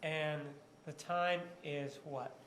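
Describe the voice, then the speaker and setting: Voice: mid-range voice, fairly even intonation, takes it slowly, fairly bright tone. A lawyer questions a witness in an echoing courtroom, with faint noise behind. The voice sits in a middle register. The questions are pointed and leading, often closed with a short tag demanding agreement. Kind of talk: courtroom cross-examination. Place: large indoor courtroom.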